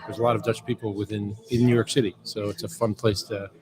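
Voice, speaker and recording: A man from Southern California speaks in a fairly low voice, pausing often with ums as he chats. He sits in a club bar, and a crowd chatters clearly around him. His tone is relaxed and conversational. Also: poor audio. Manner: hesitant, many fillers and restarts. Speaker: guest, club board member. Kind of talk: casual interview chat. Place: club bar during a social evening. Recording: loud crowd chatter behind.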